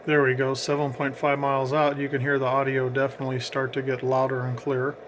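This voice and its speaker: A man's voice: medium pitch, monotone, fast, very noisy. A man giving casual, explanatory commentary as he narrates a radio range test.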